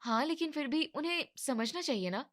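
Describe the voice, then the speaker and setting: Woman as a high-voiced, practical young wife. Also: animated, fairly quick, a bit bright. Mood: concerned. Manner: matter-of-fact, coaxing. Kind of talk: Hindi animated moral story dialogue.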